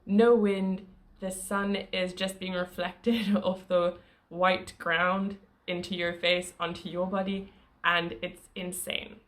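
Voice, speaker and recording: A woman's voice: on the low side, little pitch movement, slow pace. A woman narrating her travel vlog in a casual, chatty way, with small laughs and fillers. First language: not Croatian. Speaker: travel vlogger.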